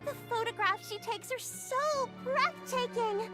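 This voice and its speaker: A woman voicing a perky, catty young alchemist in a visual novel, sweet on the surface and quietly cutting. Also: very high-pitched, measured pace. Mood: cheery catty. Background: game music.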